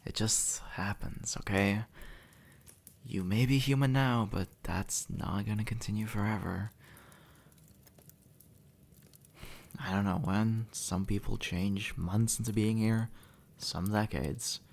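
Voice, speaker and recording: A man's voice: on the low side, fairly even intonation, measured pace, gravelly. A man playing a sardonic, impatient demon in a conversational audio roleplay, blunt and sarcastic, speaking straight to the listener. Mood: weary, explaining. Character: sardonic, impatient male demon, centuries dead, gruffly reassuring.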